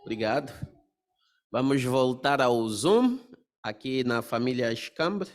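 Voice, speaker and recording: Mid-pitched man conducting a group study. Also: lively intonation, slow pace. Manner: brief, orderly prompts. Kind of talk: leading a question-and-answer religious meeting.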